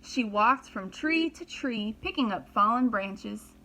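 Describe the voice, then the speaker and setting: A woman teacher reading a storybook aloud to young children, deliberate and expressive, pausing to explain. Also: a little high, slight background noise, rough recording. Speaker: pre-kindergarten teacher.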